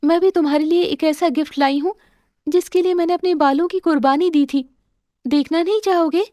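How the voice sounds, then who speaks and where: A woman's voice: extremely high pitch, brisk, mellow, no room sound, clean studio sound, pitched above the performer's own voice. A woman voicing a devoted, emotional young wife in a cartoon moral story, speaking in an earnest, pleading, sentimental way. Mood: tender.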